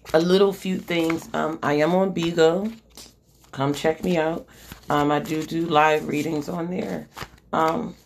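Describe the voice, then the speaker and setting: Slow, gravelly woman losing her voice. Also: deep voice, slight background noise. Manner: chatty and slangy, swears, keeps asking "okay?" while reading card texts aloud. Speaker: podcast host and oracle card reader. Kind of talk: casual oracle card reading, live-streamed podcast.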